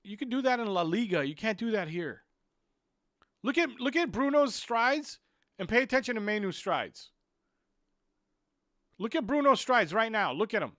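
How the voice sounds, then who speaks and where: A man streams his football watchalong from a small room at home. His voice is a touch high and sits dry and close on a desk mic. He mutters through technical fixes, then bursts into heated rants about the players. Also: big pitch swings, normal speed, long pauses, fairly bright tone, no echo. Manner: muttering while fixing stream clock. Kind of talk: live stream match commentary.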